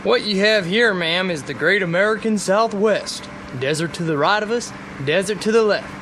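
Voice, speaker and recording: Breezy husband, higher-pitched voice. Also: male voice, moderate pace, a bit bright. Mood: breezy, reassuring. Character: easygoing joking husband driving through the desert. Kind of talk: radio drama acting. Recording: clear car road noise.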